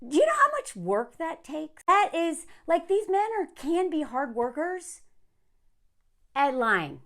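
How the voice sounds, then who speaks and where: An American woman from the South talking to camera in a casual, emphatic rant, sardonic and quick to find things funny. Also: high voice, sing-song, a bit bright. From southern United States. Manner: emphatic, sardonic, laughing often. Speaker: online content creator.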